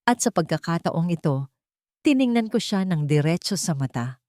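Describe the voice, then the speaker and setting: Expressive low-voiced woman narrating, dry sound. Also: normal speed, dry recording. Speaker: audiobook narrator. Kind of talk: dramatic first-person scripted story narration.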